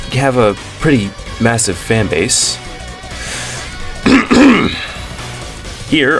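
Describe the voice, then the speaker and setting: A man with a deep voice rambles through a casual opinion commentary, often correcting himself and filling pauses. Faint music plays beneath his talk. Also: a bit bright. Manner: rambling, self-correcting, many fillers. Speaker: commentary channel host.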